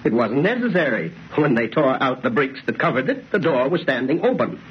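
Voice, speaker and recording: A man's voice: mid-range voice, very animated, brisk, lightly acted. An actor playing a stubborn, blustery middle-aged father who folksily dismisses superstition in an old radio horror play. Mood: dismissive. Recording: dull, noisy old radio recording.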